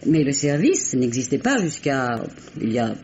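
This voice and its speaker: An actress playing an assured clinic head nurse giving precise, formal, emphatic testimony in a 1950s French radio crime drama. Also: female voice, a bass voice, sing-song, normal speed, darkish tone. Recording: muffled, noisy old radio recording.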